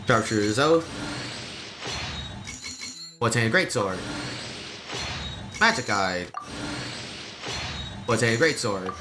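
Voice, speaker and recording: A man giving casual live gameplay commentary as a streamer, with mild, low-key, resigned reactions. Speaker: game streamer. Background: game music.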